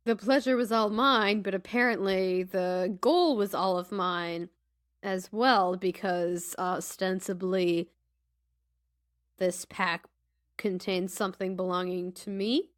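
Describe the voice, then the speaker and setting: A woman's voice: mid-range voice, steady tone, slow, clean tone, natural voice. A woman playing a haughty, suspicious fantasy shopkeeper in a tabletop game, with stiffly formal, pointed wording. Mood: dry, begrudging.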